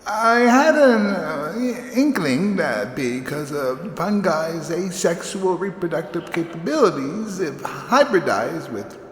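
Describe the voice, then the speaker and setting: Bumbling, modest scientist: higher-pitched, slow, stammering delivery in a reverberant hall. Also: male voice, very animated, fairly bright tone, heavily acted. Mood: flustered, halting. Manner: hesitant, stammering, halting. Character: awkward, modest male scientist accepting an award. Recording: echoey hall with crowd and noise.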